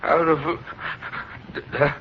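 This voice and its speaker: An actor playing a cold, scheming murderer in a 1940s radio thriller, with a halting, theatrical delivery. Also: male voice, fairly high pitch, flat delivery. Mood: baffled, insistent. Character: cold scheming male murderer, baffled and obsessive. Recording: muffled, hissy 1940s radio recording.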